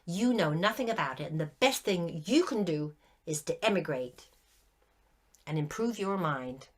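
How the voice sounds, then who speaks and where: A woman's voice: on the low side, animated, unhurried. A woman reading a story aloud, voicing an earnest young girl who scolds with prim indignation. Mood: scolding.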